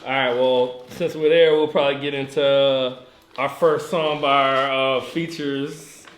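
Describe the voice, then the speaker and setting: A man's voice: mid-range voice, fairly even intonation, unhurried, slight background noise. A laid-back man hosting a casual chat, laughing easily with his guests. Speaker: host. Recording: echoey room with music.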